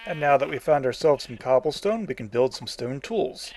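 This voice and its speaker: A man's voice: mid-range voice, animated, moderate pace, a little mellow, slight background noise. A man giving casual, instructional commentary as the host of a video game tutorial.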